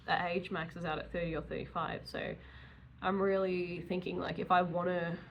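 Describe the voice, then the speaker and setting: A woman's voice: lowish voice, level tone, moderate pace, creaky, dull audio, a little hiss or hum. A woman in her early thirties talking casually and candidly in a rambling, chatty vlog style, with self-deprecating asides. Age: early 30s. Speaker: vlogger, self-employed dog groomer.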